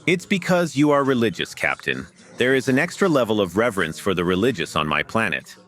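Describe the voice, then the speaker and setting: Man playing low, expressive alien dean. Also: a little fast. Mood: solemn. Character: explanatory male alien academic dean.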